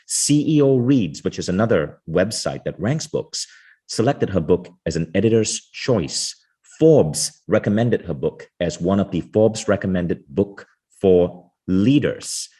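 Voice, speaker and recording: Male podcast host, husky timbre, effusive and enthusiastic. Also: deep voice, animated, a little slow, mellow. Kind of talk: podcast interview.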